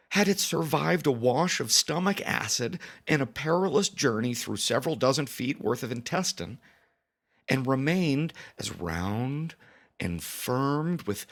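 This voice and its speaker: A man telling a first-person story as a dramatic confession, with deliberate pauses and mock-grave, theatrical emphasis. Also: mid-range voice. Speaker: storytelling podcast narrator.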